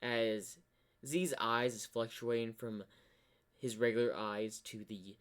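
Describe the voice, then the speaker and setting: A man narrating a fan-fiction story in an amateur, halting storytelling style. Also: mid-range voice, fairly even intonation.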